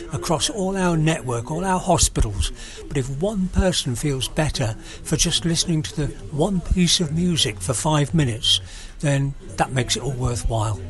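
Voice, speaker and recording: A man in his sixties from South Wales with a Welsh accent, chatting earnestly as a guest on hospital radio. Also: a little high. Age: 60s. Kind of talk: casual radio interview. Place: busy room at a radio party. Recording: crowd chatter in the room. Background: crowd chatter.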